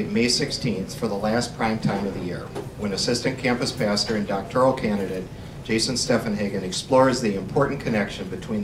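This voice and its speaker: A man hosting a library event, reading announcements and introducing the speakers with slight stumbles. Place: room in a library. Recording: roomy, distant and noisy, with rumble.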